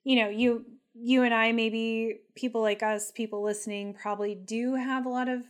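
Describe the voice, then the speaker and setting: Woman podcast co-host, fairly high, relaxed and reflective, filler-heavy. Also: normal speed. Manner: thoughtful, many "like" fillers. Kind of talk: casual analytical chat.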